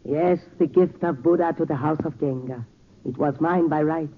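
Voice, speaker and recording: A man's voice: fairly high pitch, normal speed, slightly rough. An actor playing a proud, polite old man in a 1940s radio drama, speaking with formal courtesy. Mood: courteous, calm. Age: older. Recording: tinny, noisy 1940s radio recording.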